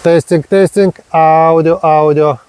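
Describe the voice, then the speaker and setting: A man narrating a hobby field test vlog step by step in halting, short, repetitive phrases. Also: on the high side, steady tone, darkish tone, a little hiss or hum. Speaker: radio hobbyist presenting a range test.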